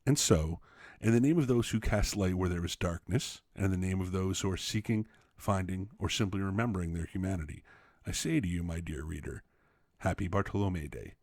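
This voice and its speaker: A man reading an essay aloud as a teacher, expressively, with emphatic, deliberate phrasing. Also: deep voice, a little mellow, dry recording, studio quality. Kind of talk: expressive read-aloud narration for students.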